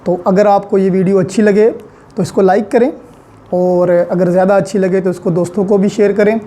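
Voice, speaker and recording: A man with a Pakistani accent giving an explanatory law lecture, deliberately repeating and stressing key points and mixing in English legal terms. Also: sits high, flat delivery, dark tone.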